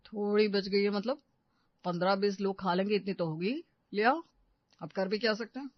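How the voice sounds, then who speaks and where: A woman's voice: mid-range voice, normal speed, lo-fi sound, natural voice. A woman voicing an exasperated young husband in a cartoon family comedy, scolding and complaining. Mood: incredulous, grumbling. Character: grumbling, exasperated young husband and elder brother.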